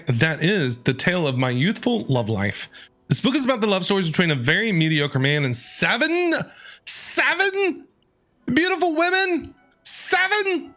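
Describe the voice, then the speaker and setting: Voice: mid-range voice, sharp tone. A game streamer giving casual, playful commentary, reacting to characters and reading dialogue aloud.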